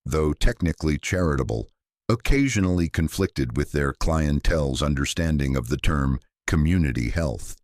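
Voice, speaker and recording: A man reading scripted mystery fiction as narrator, in a measured, dry and understated way. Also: very deep voice, lively intonation, a little mellow, dry recording. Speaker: narrator of a detective story.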